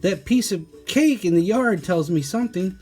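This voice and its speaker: A man's voice: high voice, lively intonation, moderate pace, mellow, faint music behind, voice pushed up. A man voicing a polite, curious young lady in a children's storybook, earnest and questioning. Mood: doubtful.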